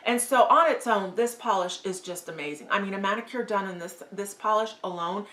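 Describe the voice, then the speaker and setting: A woman's voice: mid-range voice, a little fast, fairly bright tone, roomy sound, rough recording. A woman talking casually through a nail polish tutorial, gushing and enthusiastic, with frequent fillers. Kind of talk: casual beauty tutorial talk.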